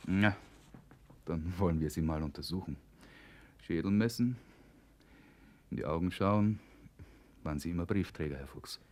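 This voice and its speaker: An actor plays a psychiatry professor in a radio play with a very low male voice. He murmurs and hums as he questions, distracted and jovially condescending. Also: normal speed, long pauses, a little mellow, natural voice. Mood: probing, patronising. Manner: murmuring, jovially condescending, interjecting little hums and repeated yeses. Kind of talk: German radio play acting.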